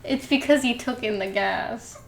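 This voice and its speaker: A woman's voice: on the high side, moderate pace, creaky, a loud crowd. A woman co-hosting a podcast in a casual group chat. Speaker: podcast co-host. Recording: roomy echo, background noise.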